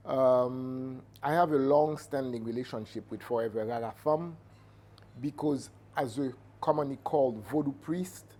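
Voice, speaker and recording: A middle-aged man with a Haitian accent giving reflective interview answers as a Vodou priest, measured and halting as he searches for words. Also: mid-range voice, darkish tone. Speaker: Vodou priest and cultural entrepreneur. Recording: noticeable background ambient noise.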